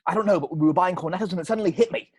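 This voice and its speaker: A man's voice: high-pitched, very fast, a little mellow, slightly rough. A man reading the part of an earnest, driven police sergeant in a table read over a video call. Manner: earnest, clipped, urgent.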